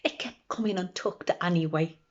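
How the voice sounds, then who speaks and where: A woman's voice: lowish voice, normal speed, dull audio, lightly acted. A woman voicing a kindly male giant from a children's storybook, with singsong rhymes. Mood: cheerful. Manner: singsong, boastful rhymes and generous chatter.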